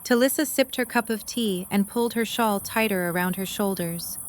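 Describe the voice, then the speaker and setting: A woman's voice: mid-range voice, normal speed, a little hiss or hum. A woman reading scripted story narration for an audio drama.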